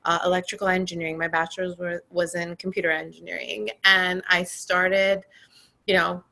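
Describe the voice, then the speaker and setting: A woman in her forties, raised between Iran and California, telling her career story in a relaxed interview with frequent pauses and fillers. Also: lowish voice, vocal fry. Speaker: podcast guest, tech program manager. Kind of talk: conversational interview.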